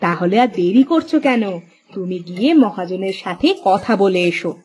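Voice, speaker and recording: A woman's voice: medium pitch, lively intonation, moderate pace, darkish tone, natural voice. A woman voicing a spirited, plain-spoken village fisherman's wife in a cartoon story. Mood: encouraging. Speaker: cartoon character voice. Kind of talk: Bengali cartoon story dialogue.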